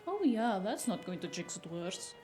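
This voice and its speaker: A woman playing a blunt, exasperated, sharp-tongued shaman in a cyberpunk audio drama. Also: medium pitch, sing-song, moderate pace, music in the background, lightly acted. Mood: sardonic. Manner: blunt, sardonic.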